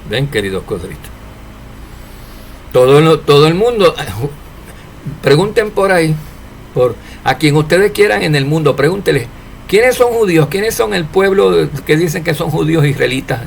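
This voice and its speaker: Gravelly-voiced man preaching in Spanish. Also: fairly high pitch, moderate pace, a little hiss or hum. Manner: preachy, rhetorical questions, animated rises and drops in loudness. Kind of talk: informal sermon to followers.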